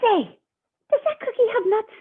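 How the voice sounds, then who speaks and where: A woman voicing an excitable male storybook pigeon who keeps begging for things, with dramatic, drawn-out whines. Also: very high voice, pitched above the performer's own voice. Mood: amazed, envious. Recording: tinny phone-like sound.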